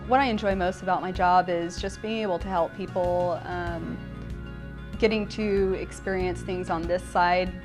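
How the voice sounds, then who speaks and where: Woman, police dispatcher, lowish pitch, sincere interview delivery, prominent music bed. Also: fairly even intonation, moderate pace. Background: background music.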